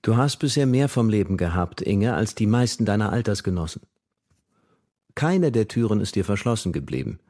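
A man playing a stern, patronizing poet and mentor, reading a letter gravely and deliberately for a radio feature. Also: lowish voice, big pitch swings, mellow. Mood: stern, admonishing. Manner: grave, admonishing, measured.

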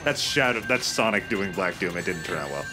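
A man's voice: low-pitched, animated, brisk. A man casually bantering over video game play, dropping offhand quips as a let's play commentator. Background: video game music.

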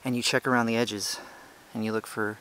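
A young man casually narrating a hiking vlog outdoors on a trail, explaining things in a relaxed, chatty way with many fillers, recorded on the handheld camera he films with. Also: medium pitch, brisk, poor audio. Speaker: ant-keeping channel host. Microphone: handheld camera he is filming with.

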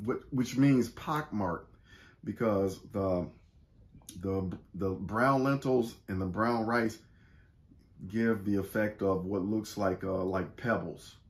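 Middle-aged guy chatting casual about cooking, joking and folksy, roomy echo at home. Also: mid-range voice. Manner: joking, folksy, relaxed with slang. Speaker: church cooking segment host. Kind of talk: casual church cooking chat. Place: living room at home, indoors.

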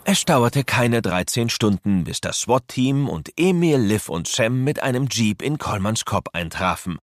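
A man narrating a children's adventure audio play as a measured storyteller. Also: mid-range voice, big pitch swings, no room sound. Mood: suspenseful.

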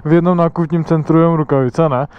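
A young Czech man talks to his helmet camera while riding, his voice a little high and smooth. He laughs often and swears casually. The sound is dull and lo-fi, recorded outdoors on the move. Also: fairly even intonation. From Czech Republic. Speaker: motovlogger. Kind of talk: casual helmet-cam motovlog chat. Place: outdoors riding an electric motorbike.

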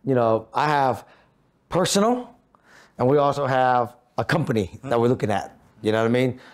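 A man's voice: mid-range voice, moderate pace. A man in his fifties talking about goal setting on a podcast in an office meeting room, in an earnest coaching manner full of rhetorical questions. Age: 50s. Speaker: podcast co-host. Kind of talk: motivational podcast talk.

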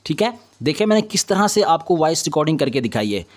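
A man's voice: fairly high pitch, big pitch swings, fast, background noise. Man giving an informal editing tutorial. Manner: repetitive confirming tags, instructional. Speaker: video editing tutorial host. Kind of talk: informal step-by-step screen tutorial.

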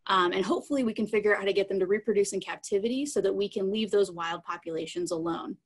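A woman in her thirties speaks as a science educator at a middling pitch. She explains things plainly and informally, in words children can follow, into a computer mic in a room at home. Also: quick pace. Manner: explanatory, plain-spoken, addressing children simply. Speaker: science educator presenting live animals. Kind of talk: informal live educational talk with audience questions. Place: small room at home, indoors. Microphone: computer mic on a video call.

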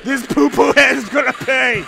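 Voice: high-pitched, steady tone, normal speed, gravelly, sharp tone, slight background noise, full character voice, low-quality recording. A let's player voicing a hot-headed teenage male delinquent from a visual novel, barking confrontational threats and childish swears. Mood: angry, threatening.

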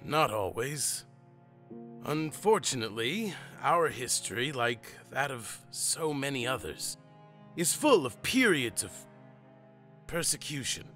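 Bright forceful male voice, unhurried, patient monster father, played by a man, explanatory, audio drama. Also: mid-range voice, big pitch swings, soft background music. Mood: grave, explaining. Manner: measured, explanatory.

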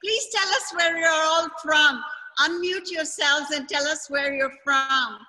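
A woman's voice: high voice, a little slow, bright, forceful tone, poor audio. A woman hosting an online class over a video call, calling on participants one by one in a friendly way. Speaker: session host.

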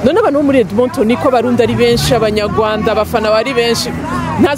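A woman's voice: on the high side, steady tone, racing. Woman interviewer at a noisy event. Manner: frequent short backchannels. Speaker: media reporter and interviewer. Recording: loud music and crowd noise behind.

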